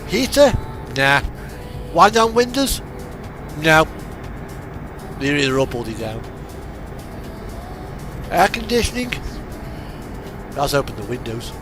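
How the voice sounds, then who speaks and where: A man's voice: fairly high pitch, big pitch swings, takes it slowly, long pauses. A British man rambling casually and a little hesitantly as he plays a driving game, chatting in loose asides. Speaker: gaming streamer. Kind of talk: casual rambling chat while playing a truck driving simulator. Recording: music playing behind the voice. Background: music, vehicle engine sounds.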